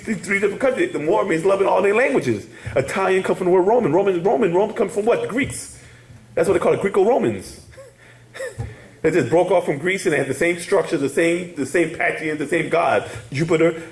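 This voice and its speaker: A man's voice: sits high, expressive, fairly quick, darkish tone, roomy sound, rough recording. A man teaching a casual class, rambling and laughing, often asking "you hear me?". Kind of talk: casual spoken class lecture.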